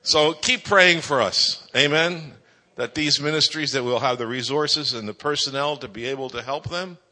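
A man's voice: medium pitch, moderate pace, bright, forceful tone. A man speaking to a church congregation, giving a prayer update and introducing his wife, with a jokey manner. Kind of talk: church announcements. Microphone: microphone. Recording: church hall reverb.

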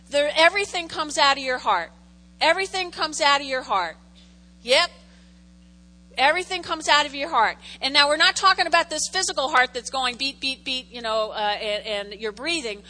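Bright, high-voiced woman pastor preaching. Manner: conversational, repeats key phrases for emphasis; jokey asides to the congregation. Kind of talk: sermon.

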